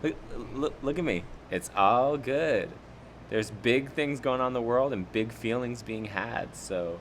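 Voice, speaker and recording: A man's voice: medium pitch, unhurried, lightly acted. A man plays a young woman in a comedy sketch who is devoted to healing through breakups. The delivery is earnest and soothing, with faint vehicle noise behind it. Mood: earnest, reassuring. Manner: earnest, therapy-speak reassurance.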